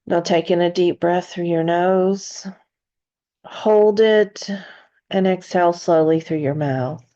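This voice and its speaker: A woman leading a guided meditation in a soothing narration style, with gentle, deliberate phrasing and long pauses. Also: fairly low pitch, muffled recording.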